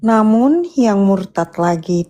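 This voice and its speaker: A woman reading Bible verses aloud deliberately, one phrase at a time. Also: medium pitch, lively intonation, warm tone. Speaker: scripture reader assisting the preacher.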